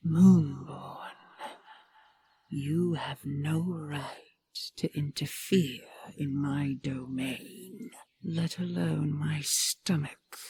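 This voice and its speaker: A woman playing an imperious, jealous dragon queen in a fantasy audio drama, speaking in a regal, deliberate, threatening manner. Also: deep voice, steady tone, gravelly, warm tone, slight background noise, exaggerated voice. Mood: stern. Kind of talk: fantasy audio roleplay acting.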